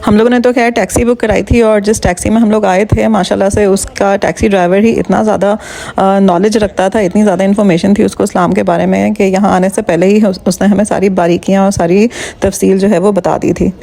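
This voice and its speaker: A woman's voice: medium pitch, fairly even intonation, quick pace, vocal fry, warm tone. A woman travel vlogger explaining religious sites in an earnest, flowing way. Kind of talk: casual travel vlog chat and voice-over.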